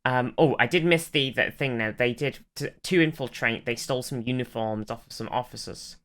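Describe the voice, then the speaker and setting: Casual podcast co-host, mid-pitched and bright, clean tone, enthusiastic fan energy, loose sweary delivery. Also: normal speed. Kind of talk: casual chat, recounting TV episodes.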